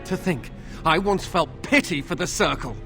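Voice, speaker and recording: A man's voice: fairly high pitch, animated, normal speed, pushed and bright. A man playing a tortured, bitter and fearful young templar captive in a video game, speaking with anguished intensity. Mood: bitter hatred. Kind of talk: dramatic video game voice acting. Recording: music under the voice.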